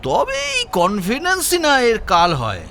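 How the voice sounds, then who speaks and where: Highly animated, high-pitched police chief. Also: male voice, normal speed, slight background noise, exaggerated voice. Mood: pondering. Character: senior police chief, flustered, authoritative, long-serving. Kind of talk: audio drama acting.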